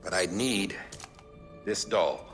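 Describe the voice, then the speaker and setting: An actor playing a guarded, cynical director leaving a secret message, deliberate and wry, in a video game cutscene. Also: male voice, low voice, music in the background.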